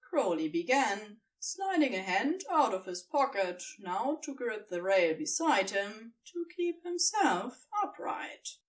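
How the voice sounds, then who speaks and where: Female narrator for fan fiction. Lively intonation, unhurried pace, expressive storytelling, a little off-mic. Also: mid-range voice, distant mic, low-quality recording.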